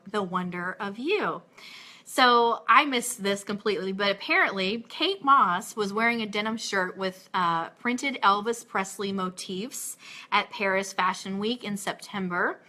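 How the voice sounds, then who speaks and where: A woman hosting a casual live radio show with gushing, excitable, chatty delivery, moving between chat and news items. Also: medium pitch.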